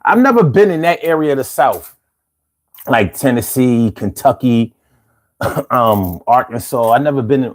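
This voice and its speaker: A man hosting a casual live stream, reading out viewer comments with laid-back, chuckling talk, from the United States. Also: mid-range voice.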